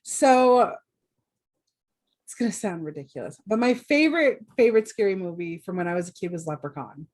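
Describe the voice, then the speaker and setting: This is a woman co-hosting a podcast. She sounds playful and jokey, laughs often, and keeps an easy middle pitch while she tells stories. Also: expressive, moderate pace, darkish tone. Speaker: podcast co-host. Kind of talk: casual chat.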